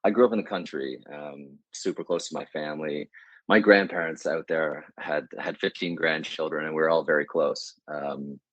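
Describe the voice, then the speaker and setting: A man's voice: extremely low pitch, fairly even intonation, normal speed, no room sound. A man in his thirties with a Canadian accent, answering interview questions in a casual, thoughtful, slightly hesitant way. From Canada, Prince Edward Island. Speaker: podcast guest, media agency CEO.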